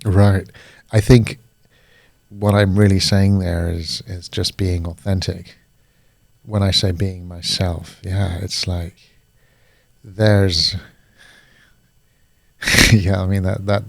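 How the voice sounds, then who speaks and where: A British man with a fairly low voice talks things through slowly and reflectively. He is a coach and a podcast guest, and he pauses to find his words. The sound is close and dry. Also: little pitch movement, creaky, no echo. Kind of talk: casual podcast conversation.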